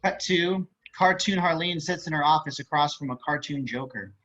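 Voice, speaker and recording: A man's voice: fairly high pitch, moderate pace, a bit bright. A man reading stage and screen directions aloud as scripted narration. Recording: muffled online call audio.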